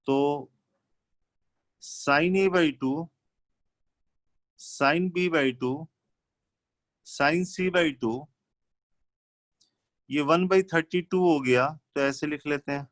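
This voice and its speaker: Slow-paced male maths teacher. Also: on the high side, fairly bright tone, no echo. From India. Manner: instructional, mixes Hindi with English maths terms. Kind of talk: live lesson, working through problems step by step.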